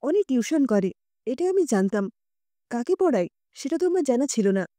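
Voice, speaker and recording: Casting: female narrator as worried but decisive mother in an audio tale, grave, mellow, dry close sound. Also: high-pitched, sing-song, moderate pace, dry recording. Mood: stern, resolute. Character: concerned, resolute mother questioning her daughter.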